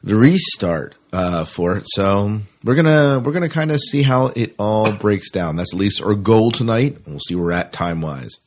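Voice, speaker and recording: A man with a deep, somewhat raspy voice co-hosts a fan podcast. He talks in a loose, chatty way, drifting into asides as he addresses the listeners. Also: lively intonation, normal speed, hardly any pauses. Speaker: podcast co-host. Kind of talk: casual sci-fi fan podcast chat.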